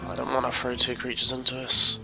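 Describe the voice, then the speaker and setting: A man's voice: mid-range voice, monotone, fairly quick, vocal fry, loud music behind the voice, poor audio. A man with a cold, sniffling as he chats casually through his card game, thinking aloud and rambling. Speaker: gaming commentator. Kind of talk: casual let's-play commentary.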